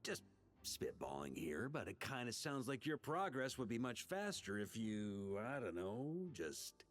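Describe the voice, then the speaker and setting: A man's voice: fairly low pitch, sing-song, unhurried, a little hiss or hum. A man voicing a casual, teasing sidekick in a video game, speaking in an offhand, hedging way. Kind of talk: video game character acting.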